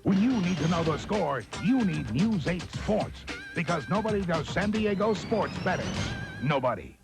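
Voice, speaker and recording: Loud music drives under a man voicing a sports promo. His voice is fairly high but gravelly, and he lands short, clipped phrases with emphasis. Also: sing-song, moderate pace. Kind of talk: scripted punchy promo.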